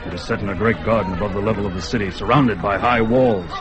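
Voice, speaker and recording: A man playing a calm young barbarian. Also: lowish voice, fast talker, music in the background, lightly acted. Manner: calm, terse, steady. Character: young male barbarian hero, calm and bold. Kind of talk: radio drama acting.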